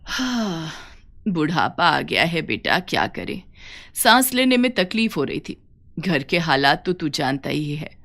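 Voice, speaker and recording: A woman's voice: deep voice, sing-song, a little slow, gravelly, a bit bright, heavily acted. A woman voicing an elderly village mother in a cartoon story, caring and weary, plaintive and tender in manner. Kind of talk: Hindi cartoon story dialogue.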